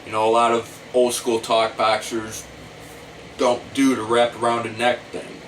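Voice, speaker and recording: A middle-aged American man gives an informal how-to talk at a slow, deliberate pace. He explains step by step and circles back over his points. The mic sits some distance away, with a faint hum of room noise behind him. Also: mid-range voice, monotone, fairly bright tone. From United States. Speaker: talk box player giving tutorial. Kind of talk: informal instructional talk to camera.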